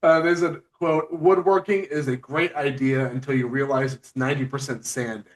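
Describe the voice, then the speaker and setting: Mid-pitched man hosting a casual interview. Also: steady tone, moderate pace, rough recording. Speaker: podcast host, interviewer. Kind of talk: casual interview, reading out listener questions.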